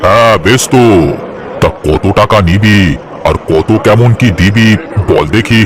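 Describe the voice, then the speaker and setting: A man's voice: on the low side, measured pace, noisy background, lightly acted. A man voicing a bossy village moneylender in a cartoon story, with a blunt, commanding and folksy manner. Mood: condescending, easygoing. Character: gruff, bossy village moneylender, a man, soft-hearted underneath. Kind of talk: Bengali cartoon story dialogue.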